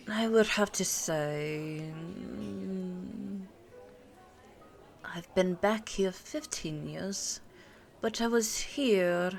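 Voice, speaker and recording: A woman playing an ageless, teasing vampire girlfriend in an intimate ASMR roleplay, musing and pausing with little hums. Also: lowish voice, vocal fry. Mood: musing, reminiscing. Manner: unhurried, musing, pausing with hums before answering. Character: pure-blood vampire girlfriend, ageless, looks twenty-nine, teasing and worldly. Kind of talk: ASMR audio roleplay, intimate one-sided conversation. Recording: soft music and cafe ambience behind. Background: soft background music with cafe ambience.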